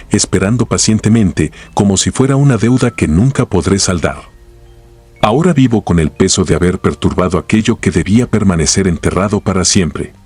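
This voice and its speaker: A man narrating horror stories in a grave, measured, scripted way. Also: on the low side, darkish tone, husky.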